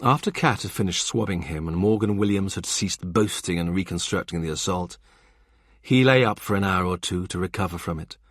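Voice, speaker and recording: A man narrating a historical novel audiobook in a calm, even storytelling manner. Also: deep voice, brisk, no room sound, studio quality. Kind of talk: scripted narration.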